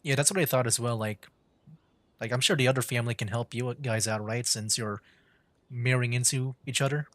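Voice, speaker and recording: A man livestreaming a game with casual, informal commentary, thinking aloud in a halting way. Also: mid-range voice, steady tone, fairly bright tone. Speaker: streamer. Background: game music.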